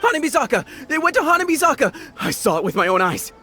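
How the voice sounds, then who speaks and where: Game casting: timid young boy sidekick, very high voice, stammering, pleading, easily rattled, music faintly behind. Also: very animated, fast talker, bright, forceful tone, heavily acted. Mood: pleading, nervous. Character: nervous, cowardly young male gang underling. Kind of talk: scripted video game voice acting.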